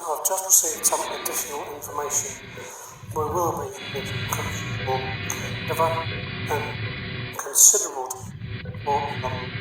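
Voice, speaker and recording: A man's voice: a little high, far from the mic. Man acting as formal, bumbling train announcer, heavily echoing public-address speaker, music behind, radio drama. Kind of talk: amateur radio play acting.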